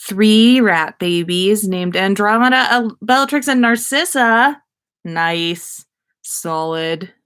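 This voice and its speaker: A woman's voice: mid-range voice, lively intonation, slow pace, a bit bright, smooth. A woman hosting a casual livestream, chatting to viewers in an effusive, rambling, playfully self-aware way. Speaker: livestream host.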